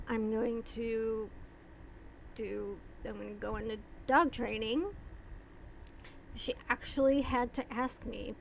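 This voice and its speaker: Slow, gravelly-voiced woman vlogger. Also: on the high side, slight background noise, poor audio. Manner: slow, rambling, with many pauses and restarts. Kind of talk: casual talk to camera.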